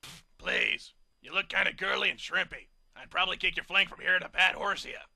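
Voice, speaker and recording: A man's voice: high-pitched, brisk, vocal fry, bright, forceful tone, no room sound, clean studio sound, exaggerated voice. A man voicing a huge, grumpy gray male earth pony who bursts into gushing admiration. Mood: grumbling. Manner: blustering then gushing.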